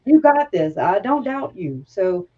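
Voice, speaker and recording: A woman's voice: medium pitch, sing-song, a little slow, highs cut off, a crowd in the background. A woman hosting a casual livestream chat, talkative and self-mocking, often laughing at her own remarks. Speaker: livestream host.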